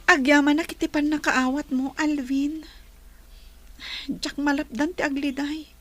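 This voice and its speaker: Mistreated young female student, Filipino accent, high voice, meek, soft-spoken, radio drama. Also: fairly even intonation, a little slow. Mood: grateful.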